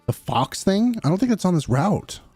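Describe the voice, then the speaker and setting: A young man streaming a game and chatting casually with his viewers in a low-key, slightly mumbly way. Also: sing-song, normal speed, warm tone, breathy edge, dry recording, faint music behind. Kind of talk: casual live-stream chat.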